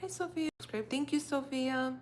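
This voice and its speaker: A woman's voice: high-pitched, normal speed. A young woman, a native Russian speaker, chatting casually on a livestream, rambling with lots of fillers. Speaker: livestream host. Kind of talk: casual livestream chat with viewers. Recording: faint background noise.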